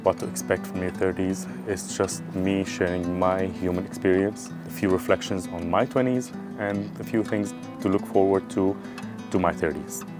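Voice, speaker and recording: A man's voice: sits low, steady tone, normal speed. A thirty-year-old man from Syria, talking earnestly and thoughtfully about his life in a personal vlog, recorded outdoors. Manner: earnest, thoughtful, self-searching. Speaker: vlogger. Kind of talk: reflective personal monologue. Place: outdoors in a small town. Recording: guitar music playing underneath.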